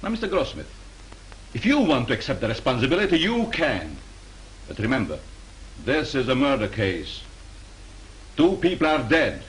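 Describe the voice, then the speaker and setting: Formal studio exec, stern and lecturing, lively pitch, hissy old film audio. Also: male voice, moderate pace, long pauses, low-quality recording. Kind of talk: 1930s film acting. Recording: faint hiss of 1930s film soundtrack.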